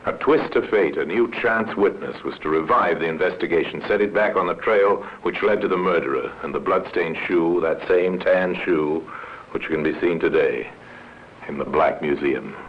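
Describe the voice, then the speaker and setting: Male narrator, very low voice, dramatic, deliberate, old radio, muffled sound. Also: a little hiss or hum.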